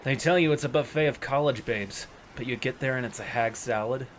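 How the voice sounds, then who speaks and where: An actor playing a slick, talkative, cocky young yakuza in a video game fan dub, over guitar music. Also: male voice, mid-range voice, a little fast, slight background noise, close to an everyday voice. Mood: chummy, boastful. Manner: cocky, chatty, casual.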